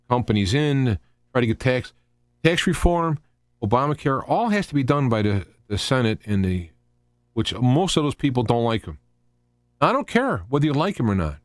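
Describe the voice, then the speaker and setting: A man hosting a live talk radio show with an opinionated, unscripted political monologue, halting and restarting phrases and posing rhetorical questions. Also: mid-range voice, dry recording. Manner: halting and rambling, often repeating and restarting phrases. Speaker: radio host.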